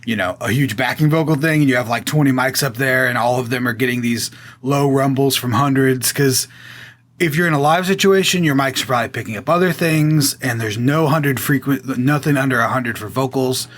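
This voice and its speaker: A man with a boomy voice explaining audio mixing in a relaxed, casual way, often pausing with fillers. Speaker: guest audio engineer.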